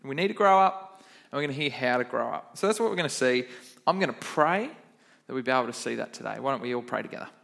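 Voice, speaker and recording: A man's voice: mid-range voice, animated, fast, creaky, fairly bright tone. A young man, a kids pastor, giving a chatty, upbeat children's sermon in church and quizzing the kids.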